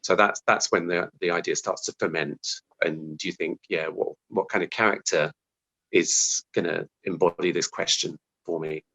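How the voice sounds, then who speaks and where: A man's voice: deep voice, steady tone, moderate pace, sharp tone, slightly rough, poor audio. A man talking casually as an interview guest about his craft, thinking aloud and restarting his phrases as he goes.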